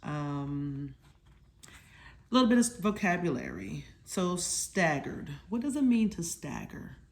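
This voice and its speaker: A woman's voice: on the low side, big pitch swings, takes it slowly. A woman hosting a children's storytime, talking casually and teaching in simple words, with questions to check that listeners follow. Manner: simple, informal explanations for children. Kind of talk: casual children's lesson, conversational.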